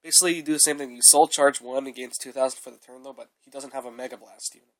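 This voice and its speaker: A man's voice: medium pitch, steady tone, fast talker. A young man with a cold, giving a rambling, casual review of his card deck and filling his talk with "though" and "uh". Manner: rambling, repeated fillers like "though" and "uh". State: sick with a cold, coughing.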